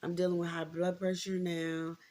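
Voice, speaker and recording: A woman's voice: on the low side, flat delivery, moderate pace, darkish tone. An American woman in her thirties talking candidly and informally to her viewers, reflective and repeating points for emphasis. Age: 30s. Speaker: vlogger. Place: indoors, room with a closet.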